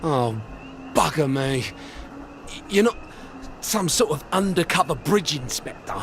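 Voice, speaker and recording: Casting: a stammering, servile English constable, working-class, high-pitched and gravelly, some noise behind. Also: male voice, animated, unhurried, fairly bright tone. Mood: nervous, pleading. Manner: stammering, colloquial, deferential. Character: nervous, deferential working-class male English police constable.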